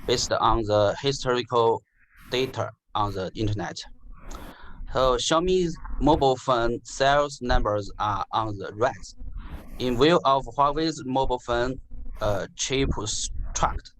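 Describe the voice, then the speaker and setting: Slow halting man presenting coursework. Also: medium pitch, fairly bright tone, rough recording. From China. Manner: halting, many pauses and uh fillers, repeats words. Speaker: student presenter. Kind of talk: class group presentation. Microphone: video call.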